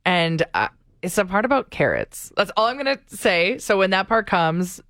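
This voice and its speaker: A woman's voice: medium pitch, big pitch swings, moderate pace, a bit bright, no echo, clean studio sound. A woman co-hosting a morning radio show, teasing her co-host and cheering on a caller during a game. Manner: encouraging, playful teasing.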